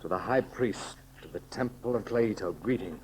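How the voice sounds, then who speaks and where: An actor playing a noble, anguished adventurer emperor in an earnest, halting, melodramatic 1930s radio style. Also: male voice, fairly low pitch, a little mellow, natural voice. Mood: solemn. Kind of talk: 1930s radio serial acting. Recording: noisy 1930s radio recording.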